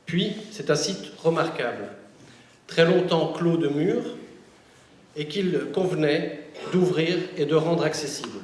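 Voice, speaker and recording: A man speaks as a local official at a ceremony, reading a prepared speech in measured, oratorical phrases. His voice is a little high. It comes from some distance in an echoey space with faint noise. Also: a little mellow. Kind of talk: formal prepared inauguration speech.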